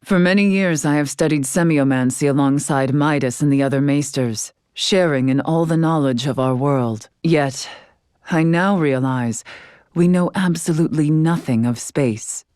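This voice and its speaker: An actress playing a dignified, stern, scholarly woman in a fantasy video game, speaking in formal, archaic, measured phrases. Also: low voice, a little mellow, clean tone, dry recording, clean studio sound, lightly acted. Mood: reflective, formal. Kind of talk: scripted video game character dialogue.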